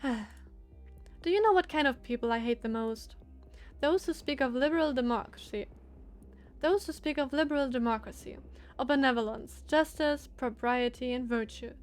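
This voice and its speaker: A haughty, sardonic lady from a visual novel, as a woman reader puts her on. Her voice is high yet aloof and teasing, with faint music underneath. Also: normal speed, close to an everyday voice. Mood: scornful. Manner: aloof, sardonic, feigned innocence.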